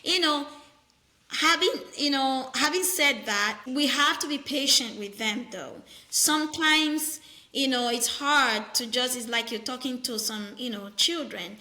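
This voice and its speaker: A high, bright woman's voice, firm and animated as she tells stories about her patients and slips into their voices. She is a middle-aged nurse practitioner from Nigeria, speaking as a guest in a relaxed interview. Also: a little slow. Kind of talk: conversational interview.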